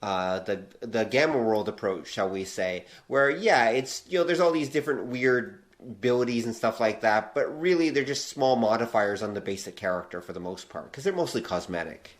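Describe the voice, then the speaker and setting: A man hosting a casual podcast discussion, talking in a measured, analytical, questioning way. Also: lowish voice, animated. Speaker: podcast host.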